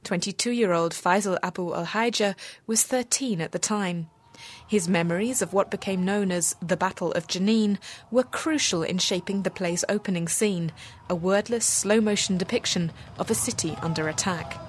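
A woman's voice: medium pitch, normal speed, slightly rough. Woman reading a radio news report. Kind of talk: scripted news narration.

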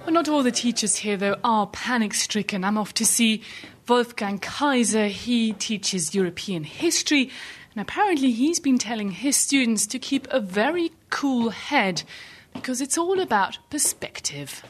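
A woman reporting for radio, narrating and asking questions in a bright, inquisitive way. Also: a little high, expressive, moderate pace. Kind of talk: radio feature reporting and interviewing.

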